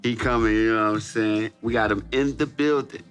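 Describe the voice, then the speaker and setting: A man with a fairly low voice hosts a casual podcast, full of slang and playful jokes. Faint music sits behind him. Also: steady tone, a little fast, fairly bright tone. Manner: hype, joking, slangy banter. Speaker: podcast host. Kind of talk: casual interview chat.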